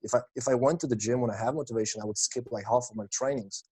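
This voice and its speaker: A young man from Lithuania, a guest chatting casually on a podcast in a relaxed, laid-back way. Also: medium pitch, fast, breathy edge. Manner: relaxed, laid-back, calls people bro. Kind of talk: casual chat.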